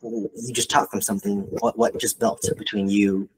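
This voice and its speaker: A man's voice: on the low side, flat delivery, moderate pace, a little hiss or hum, poor audio. A man working as a mentor, talking casually in a one-on-one coaching call and often checking in with "right?". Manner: conversational, peppers talk with "like" and "right?". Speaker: real estate mentor and coach.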